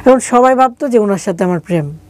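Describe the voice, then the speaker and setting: A woman's voice: mid-range voice, lively intonation, quick pace. A woman with a regional Bangladeshi accent telling her life story conversationally, in colloquial words, as an interview guest. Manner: colloquial, acting out remembered dialogue.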